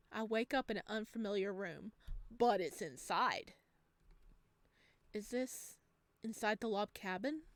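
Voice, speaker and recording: A woman reading a video game's text aloud and chatting with expressive, excited reactions as she plays. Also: medium pitch, no room sound. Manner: chatty, expressive asides between read lines. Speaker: video game streamer, let's player. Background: game music.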